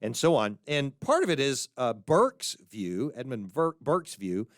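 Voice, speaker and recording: A man's voice: mid-range voice, sing-song, measured pace, dry recording, studio quality. A man with an American accent hosting a podcast, talking through ideas casually and restarting his sentences as he thinks aloud. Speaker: podcast host. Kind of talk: casual spoken monologue on faith and culture.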